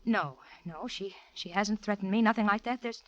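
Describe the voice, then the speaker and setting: An actress playing an anxious, fretful older wife in a 1940s radio crime drama. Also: female voice, mid-range voice, moderate pace, fairly bright tone, highs cut off, natural voice. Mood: fearful, strained. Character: frightened older wealthy wife, going deaf.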